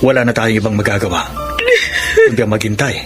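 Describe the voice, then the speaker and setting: An actor playing a grave middle-aged Filipino doctor in a radio drama, speaking in a measured, serious way. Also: male voice, on the low side, over music, close to an everyday voice. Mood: somber. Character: grave older doctor uncle breaking bad news.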